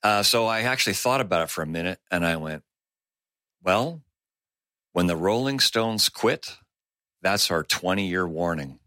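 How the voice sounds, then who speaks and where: Deep man with a bright, forceful tone. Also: expressive, no echo. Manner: halting, filler-heavy telling. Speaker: guitarist, interview guest. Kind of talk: casual interview anecdote.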